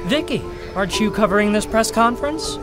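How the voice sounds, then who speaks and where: Guy as a pestering reporter, high voice, questioning, music behind. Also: lively intonation, a little fast. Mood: eager, puzzled. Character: eager, pestering male newspaper reporter. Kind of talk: audio drama acting.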